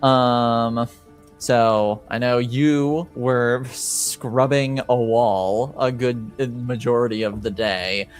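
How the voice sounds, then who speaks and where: Guy running a tabletop rpg, slow n deliberate, lots of pauses, bit of music behind. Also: mid-range voice, fairly even intonation, clean tone. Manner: halting, deliberate, pauses mid-sentence. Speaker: game master of a tabletop roleplaying game. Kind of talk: improvised tabletop game narration and casual chat.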